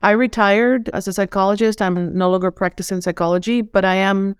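A woman's voice: medium pitch, steady tone, fairly quick, clear, resonant tone, no room sound, clean studio sound. A middle-aged woman from Venezuela with a Venezuelan accent, a holistic coach and former psychologist, speaking earnestly and effusively as a podcast guest. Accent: Venezuelan Spanish. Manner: earnest, effusive, repeats words at starts. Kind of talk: podcast interview.

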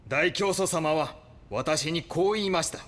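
An actor playing a cold, fanatical cult enforcer, stern and preachy with polite menace, in an audio drama. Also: male voice, on the high side, unhurried, fairly bright tone. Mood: cold, righteous. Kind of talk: Japanese audio drama acting.

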